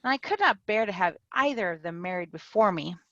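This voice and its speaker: A woman's voice: medium pitch, brisk, dry recording. A woman reading the part of a vain, fickle young woman weighing a marriage offer, in a dramatic reading over a video call. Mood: giddy. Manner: giddy, changeable, animated delivery. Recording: dull lo-fi video call audio.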